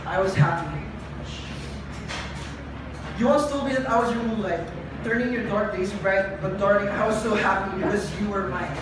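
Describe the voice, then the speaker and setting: A young adult poet performing confessional spoken word live, with a dramatic, deliberate delivery and emphatic repetition. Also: mid-range voice. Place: live event venue with room ambience. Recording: echoey live room, distant mic, noisy.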